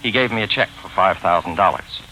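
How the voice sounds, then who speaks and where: An actor playing a prim, nervous gentleman sleuth who speaks and narrates formally and pedantically in 1940s radio style. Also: sits low, fairly quick. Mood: dry, formal. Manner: formal, pedantic, over-precise diction. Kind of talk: 1940s radio acting and first-person narration. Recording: noisy vintage 1940s radio broadcast.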